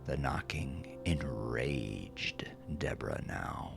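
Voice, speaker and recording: Really deep gravelly guy reading a horror story slow and dramatic, music underneath. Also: expressive. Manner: deliberate, dramatic, often dropping to a hush. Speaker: narrator. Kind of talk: scripted horror fiction narration.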